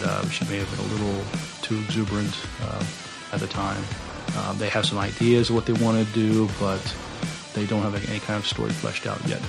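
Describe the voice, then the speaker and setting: An American man co-hosting a podcast, giving news in a casual, somewhat halting way. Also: lowish voice, gravelly. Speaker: podcast co-host.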